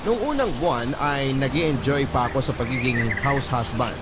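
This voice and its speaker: A man's voice: medium pitch, animated, normal speed, very noisy, lightly acted. A man playing an earnest young father who tells his life story as scripted first-person radio drama narration, with music behind. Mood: earnest, reflective. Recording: loud music bed behind.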